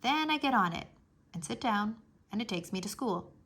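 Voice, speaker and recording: A woman narrating a children's book aloud in an expressive, scripted storytelling style. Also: mid-range voice, normal speed, breathy edge.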